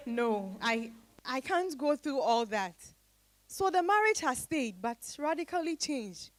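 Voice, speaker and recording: A woman acting a weary, reflective wife in a stage monologue, measured and resigned, with a Ghanaian accent. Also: sits high, very animated, no room sound.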